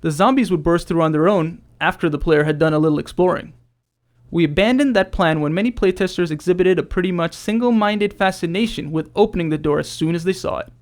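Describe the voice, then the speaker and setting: A man's voice: sits high, a little fast. A man who works as a game developer, reading scripted commentary in an explanatory style.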